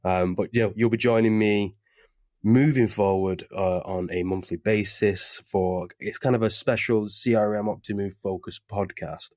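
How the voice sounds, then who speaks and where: Low-voiced man hosting a podcast. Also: fairly even intonation, normal speed, a little mellow, no echo, clean studio sound. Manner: chatty, loose, with frequent fillers. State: recovering from covid. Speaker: podcast host. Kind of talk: casual podcast interview. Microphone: remote webcam call.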